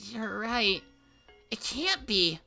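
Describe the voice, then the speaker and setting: A gentle spirit-goddess with a girlish, raised voice calls out in soft, drawn-out pleas, as a woman puts her on. Her tone is bright and clear. Faint music plays behind her. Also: on the high side, sing-song, pitched above the performer's own voice, exaggerated voice. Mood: anxious. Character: gentle anxious girlish deity, distant and pleading.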